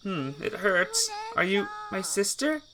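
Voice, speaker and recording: A man's voice: high-pitched, huge pitch swings, slow, noisy background, pitched above the performer's own voice, heavily acted. A man voicing a groggy, naive teenage girl in a visual novel, hesitant and full of startled questions. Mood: groggy, confused.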